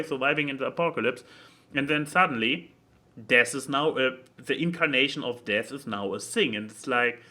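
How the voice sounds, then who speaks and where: A man's voice: a little high, pushed and bright. A man chatting casually about a book, rambling and repeating words as he thinks aloud. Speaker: podcast speaker reviewing a book. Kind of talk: casual opinionated chat.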